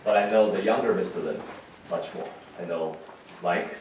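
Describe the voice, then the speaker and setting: Man, low pitch, pastor, conversational memorial sermon, halting and reflective, heavily echoing room. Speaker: pastor giving a funeral message. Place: large reverberant room at a memorial service. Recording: very echoey hall, distant, faint noise.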